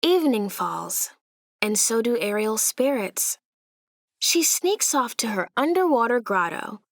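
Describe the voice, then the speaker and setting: A woman narrating a children's storybook aloud in an expressive way, with deliberate, dramatic emphasis. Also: fairly high pitch, dry recording, studio quality.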